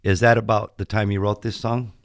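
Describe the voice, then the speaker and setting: A man hosting an interview, asking plain questions in a measured way. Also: lowish voice, level tone, no room sound, studio quality. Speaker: radio show host.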